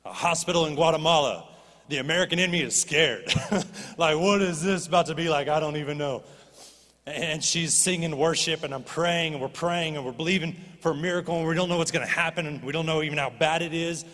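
A man preaching from a church stage, his voice sitting a little high for a man. He is animated and swings up into impassioned pleading. His words carry a long hall reverb. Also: fairly quick. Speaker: pastor. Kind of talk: sermon.

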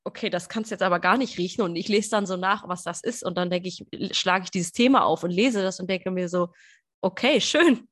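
A woman's voice: fairly low pitch, fairly quick, a bit bright. A woman co-hosting a podcast as a business coach, chatting casually and enthusiastically, with many fillers. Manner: enthusiastic, gushing, lots of fillers.

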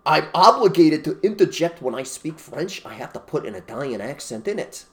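Emphatic ranting male co-host, slightly higher voice, opinionated casual banter. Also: very animated, normal speed. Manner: ranting, emphatic, mocks others in put-on voices. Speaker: podcast co-host.

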